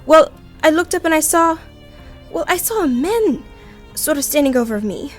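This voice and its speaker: Woman acting a high, breathless, frightened sweetheart. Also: animated, moderate pace, faint music behind. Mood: shaken. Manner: breathless, melodramatic. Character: frightened, pleading young sweetheart in a horror tale. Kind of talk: old-time radio drama acting.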